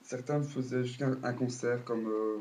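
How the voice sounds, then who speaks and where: A man's voice: mid-range voice, steady tone, normal speed, a little mellow. A man with a cold giving a casual, hesitant spoken recap full of fillers and restarts. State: has a cold, coughing. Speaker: video blogger.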